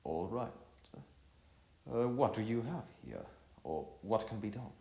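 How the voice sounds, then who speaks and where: A man's voice: lowish voice, big pitch swings, measured pace, gravelly, warm tone, exaggerated voice. A man voicing a reserved, earnest male traveler who speaks in a hesitant, formal way in a storybook. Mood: curious. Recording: roomy echo with music behind.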